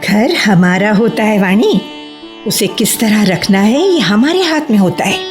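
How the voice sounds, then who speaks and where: A woman's voice: medium pitch, very animated, measured pace, faint music behind. A woman playing a friendly, capable neighbour who talks instructively in a Hindi radio play. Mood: friendly, advising.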